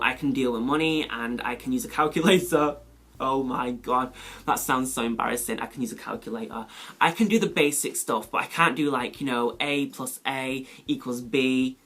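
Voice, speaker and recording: A young man with a Mancunian accent chatting casually as a beauty vlogger, rambling through questions with lots of "like" and sudden high exclamations. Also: fairly bright tone, slightly rough. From England. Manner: chatty, rambling, full of "like" and "you know"; pitch leaps up sharply when exclaiming. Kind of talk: casual chat answering a question tag.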